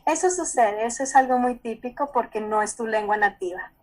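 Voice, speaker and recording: A Colombian woman psychologist speaks over a video call in a somewhat high voice. She explains at an easy conversational pace, repeating words and often pausing to check that the listener follows.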